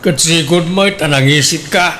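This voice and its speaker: With faint noise behind, a put-upon village watchman in a radio comedy. The fairly high voice grumbles and retorts sarcastically like a long-suffering husband. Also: normal speed, sharp tone. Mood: exasperated. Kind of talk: comedic radio drama acting.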